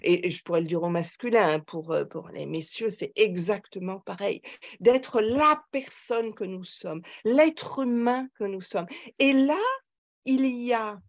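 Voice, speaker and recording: Older female guest. Thoughtful, emphatic psychoanalyst in conversation, feeling out each phrase. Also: medium pitch. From Paris, France. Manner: emphatic, reflective, halting as she searches for words. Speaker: psychoanalyst and author, podcast guest. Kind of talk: conversational interview answers.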